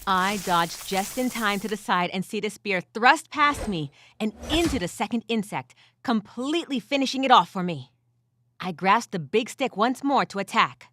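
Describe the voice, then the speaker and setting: A woman's voice: mid-range voice, lively intonation, moderate pace, a bit bright, no room sound. A woman voicing a weary but gritty furred female adventurer who tells her story in the first person with wry asides, in an audio drama style. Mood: tense, urgent.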